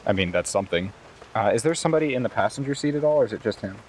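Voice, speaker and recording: A man's voice: fairly low pitch, animated, very fast, darkish tone, a little hiss or hum. A man talking casually and jokingly as a player in a tabletop roleplaying game. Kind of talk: casual in-character game play talk.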